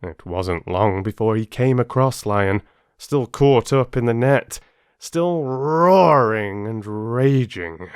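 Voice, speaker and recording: A man reading aloud as an audiobook host, with an expressive, measured storytelling manner. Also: mid-range voice, smooth, no room sound, studio quality. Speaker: audiobook reader and channel host. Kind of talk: children's story narration.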